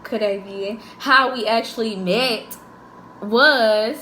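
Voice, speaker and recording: A woman, chatting and telling stories in an animated way during a couple's Q&A. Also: on the high side, takes it slowly, slight background noise. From Jackson, Mississippi. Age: 20s. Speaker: co-host of a couple's channel. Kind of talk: casual Q&A chat, storytelling. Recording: echoey room.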